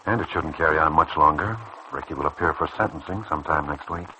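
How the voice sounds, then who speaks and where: Deep-voiced, kindly senior doctor. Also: male voice. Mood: earnest, concerned. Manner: measured, earnest, reassuring. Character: kindly, principled male senior doctor and mentor. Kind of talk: mid-century radio soap opera acting. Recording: faintly noisy old radio recording.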